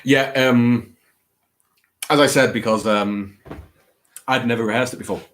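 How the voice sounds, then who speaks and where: A man's voice: medium pitch, fairly even intonation, measured pace, a bit bright. A man with a Scouse accent hosting a casual, rambling live chat, speaking in a hesitant, self-deprecating way with theatrical flourishes. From England. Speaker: host of a late-night livestream.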